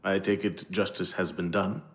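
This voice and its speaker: A man's voice: low voice, fairly even intonation, normal speed, lightly acted. A man playing a coolly menacing, commanding conspirator of old Paris in a video game period drama. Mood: cool, expectant.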